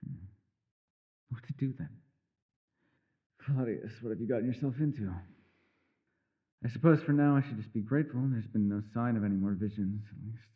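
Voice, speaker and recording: A man's voice: mid-range voice, moderate pace, pauses often, slightly rough, narrow, tinny audio, natural voice. A man playing a mysterious elf mage in a fantasy ASMR roleplay, a patient mentor who muses wryly in formal, old-fashioned phrasing. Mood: brooding, wry. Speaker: voice actor.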